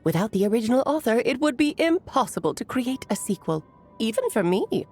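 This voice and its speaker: A whimsical mage who loves telling stories in a fantasy game. Her voice is fairly high and very animated, grandiose and teasing, with faint music behind it. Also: a little fast. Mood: knowing, dramatic. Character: playful theatrical grown woman mage, whimsical storyteller aunt. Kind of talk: video game voice acting.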